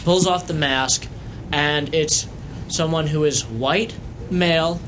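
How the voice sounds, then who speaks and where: A man's voice: on the high side, takes it slowly, a bit bright, a little hiss or hum. A man from the audience giving a casual, joking monologue into a shared microphone. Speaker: audience member on a passed microphone. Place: live podcast recording at a convention. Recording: faint live convention ambience.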